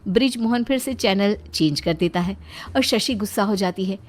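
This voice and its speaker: A woman's voice: mid-range voice, moderate pace. A woman narrating a scripted moral story as its storyteller.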